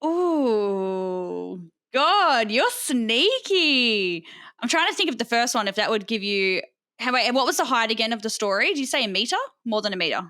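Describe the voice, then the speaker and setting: A woman hosting a podcast in casual chat, curious and lively, asking follow-up questions. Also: fairly high pitch, moderate pace. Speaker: podcast host.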